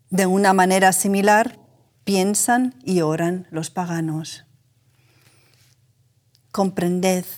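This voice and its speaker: Woman reading spiritual text real slow, solemn and measured. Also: mid-range voice, darkish tone. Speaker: reader. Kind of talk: scripted religious reading.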